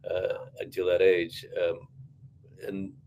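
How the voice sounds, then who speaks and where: A man from Ukraine whose first language is Russian talks in a fairly low voice. He makes emphatic points in a casual panel chat, restarting his sentences often. A faint background noise sits under his voice. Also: completely flat delivery, takes it slowly, a little mellow. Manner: earnest, many restarts and fillers, emphatic claims. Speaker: podcast guest, academic.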